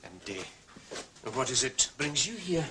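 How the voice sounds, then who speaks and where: An actor playing a fatherly Scottish policeman in a period drama, courteous, deliberate and evasive in his official phrasing. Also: mid-range voice, big pitch swings, noisy background. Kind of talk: British television period drama acting.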